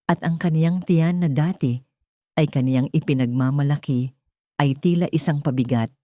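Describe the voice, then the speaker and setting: A woman's voice: very deep voice, mellow, no echo, studio quality. A woman with a Filipino accent narrating a scripted dramatic audio story.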